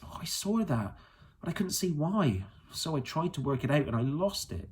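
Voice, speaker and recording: A man's voice: fairly high pitch, big pitch swings, normal speed, voice pushed up. A man voicing a curious, bold young girl in an audiobook, eager in manner. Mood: excited.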